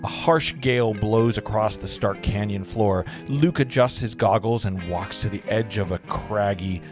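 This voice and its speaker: Low-voiced man narrating over guitar music. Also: moderate pace. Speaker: narrator at a script table read. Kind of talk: reading screenplay stage directions aloud.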